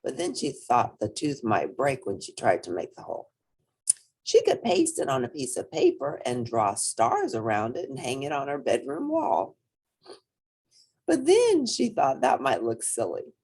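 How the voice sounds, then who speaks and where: A woman reading a children's storybook aloud in an expressive narrating style. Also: rumbling low, measured pace, breathy edge. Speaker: storybook reader.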